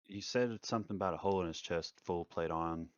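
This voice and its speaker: Male roleplaying gamer, extremely deep, hesitant and conversational, recorded close on lo-fi gear. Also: fast talker, no room sound. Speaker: tabletop game player. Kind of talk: casual chat describing his character's actions.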